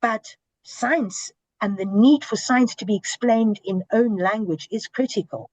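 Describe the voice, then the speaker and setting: A woman's voice: mid-range voice, measured pace, darkish tone, no echo, low-quality recording. A woman, a senior broadcast journalist, speaking earnestly and emphatically in a panel discussion over a video call. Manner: earnest, emphatic, lists and repeats for stress.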